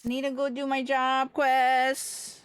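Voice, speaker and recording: A woman's voice: high-pitched, completely flat delivery, takes it slowly, clean tone, dry recording. A woman giving casual, playful commentary on a gaming livestream.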